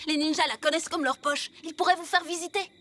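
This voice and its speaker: High-pitched level-headed cartoon hero kid. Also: female voice, fairly even intonation, fast talker, a bit bright. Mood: calming. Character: reasonable, peacemaking young cartoon hero child. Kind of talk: animated children's cartoon acting.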